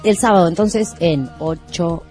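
Mellow-voiced Argentine woman, emphatic radio chat. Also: lowish voice, measured pace, soft background music. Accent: Rioplatense Argentine. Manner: slangy, emphatic. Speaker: radio show co-host.

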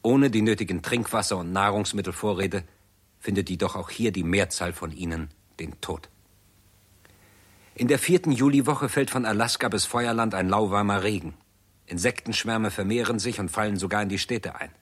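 An actor narrating a radio drama as a grave chronicler, in a measured, sober, matter-of-fact manner. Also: male voice, lowish voice, breathy edge. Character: grave male chronicler of a world catastrophe.

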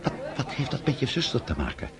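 A man's voice: mid-range voice, sing-song, quick pace, lightly acted. An actor playing a muddled old aristocratic father in a radio drama, stammering and bewildered. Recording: party crowd murmuring behind.

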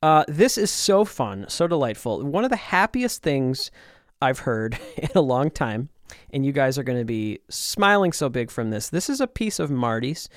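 A man's voice: medium pitch, sing-song, normal speed, no room sound, studio quality. A man co-hosting a casual chat about music, enthusiastic and laughing often. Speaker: co-host.

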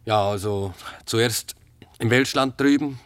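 An actor playing a taciturn former soldier in a radio drama, answering tersely and evasively. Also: male voice, mid-range voice, slow, no echo, natural voice. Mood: guarded, evasive. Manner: terse, flat one-word answers, evasive. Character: taciturn, guarded former soldier under questioning.

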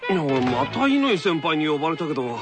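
A man's voice: fairly high pitch, big pitch swings, brisk, loud music behind the voice. A man playing a skeptical, exasperated schoolboy in an anime radio drama skit. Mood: wary, exasperated. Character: skeptical, exasperated schoolboy reluctant test subject.

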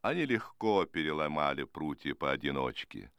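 Male fable narrator: deep, expressive, widely varied melody, dry studio sound. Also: normal speed, clean tone, no room sound.

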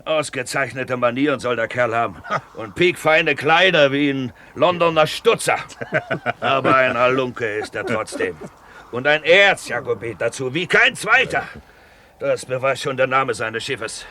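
An actor playing a grizzled, blustering grey-haired merchant captain in a radio drama, with a hoarse, salty, emphatic delivery. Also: male voice, medium pitch, animated, unhurried, sharp tone. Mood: indignant, gossipy. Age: older. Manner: bawling, emphatic, salty storytelling. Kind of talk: 1970s German radio drama acting.

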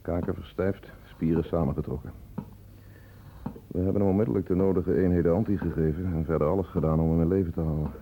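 An actor playing a matter-of-fact, world-weary hospital doctor, reporting tersely in a radio play. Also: male voice, low-pitched, fairly even intonation, fast talker, warm tone. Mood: clinical. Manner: colloquial, terse clinical reporting. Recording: tinny, noisy old radio play recording.

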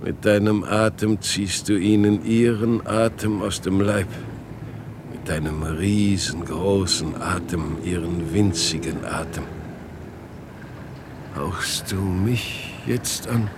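An actor playing a frail old father waiting for his lost son in a radio play, halting and swinging between murmurs and outbursts. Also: deep voice, fairly even intonation, very noisy. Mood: knowing, resigned. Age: older. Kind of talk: German radio play acting.

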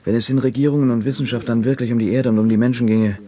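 An actor playing a disillusioned, irritable environmental activist, argumentative and scornful, in a science-fiction radio drama. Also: male voice, mid-range voice, fairly even intonation, very fast, dark tone, natural voice. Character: irritable, disillusioned eco-activist living in cramped cabin. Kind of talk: 1970s radio drama dialogue.

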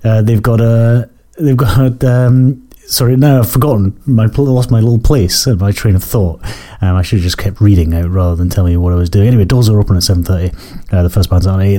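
A Scottish man hosting a music podcast, croaky from a cough, chatting in a rambling, self-correcting, apologetic way between tracks. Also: on the low side, mellow. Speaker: podcast host. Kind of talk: casual radio-style chat between tracks.

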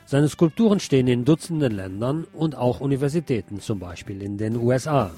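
A man presenting scripted news items in a measured, enumerating way, as a radio presenter. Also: mid-range voice, lively intonation, over music.

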